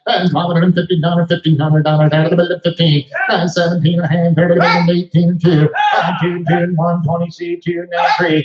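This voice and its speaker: A man working as a livestock auctioneer, calling bids in a rapid rhythmic chant. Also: sits high, level tone, roomy sound, dull audio, noisy background. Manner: rhythmic repetitive bid calling. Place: cattle sale ring.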